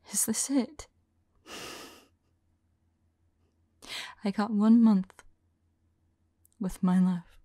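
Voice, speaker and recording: A lovelorn woman mage, played by an ASMR voice actress, murmurs anguished journal entries in a dark, mellow voice. Her delivery is hushed, breathy and mournful, with a creaky edge, recorded very dry and close. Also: mid-range voice, no room sound. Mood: desperate, grieving. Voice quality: soft, breathy, husky. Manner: hushed, halting, mournful, intimate. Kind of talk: slow dramatic monologue, ASMR audio roleplay.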